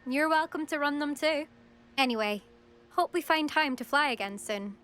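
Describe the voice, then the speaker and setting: Competitive schoolgirl in a video game, highish voice, cocky n teasing. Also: normal speed, a bit bright, soft background music. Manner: confident, teasing. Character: competitive, cocky teenage schoolgirl broom racer.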